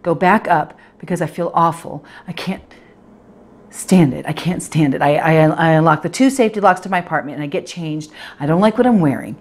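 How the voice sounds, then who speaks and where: Actress as fretful, self-critical woman of twenty-eight, low register, confessional stage monologue, direct address. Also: a little fast. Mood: anxious, self-loathing. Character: anxious, self-critical 28-year-old professional woman, obsessive about appearance.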